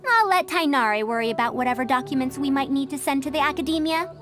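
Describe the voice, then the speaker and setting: A woman playing a crafty, long-lived scholar in a video game, airy and unhurried, with playfully formal diction. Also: high-pitched, music in the background, lightly acted. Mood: breezy, amused.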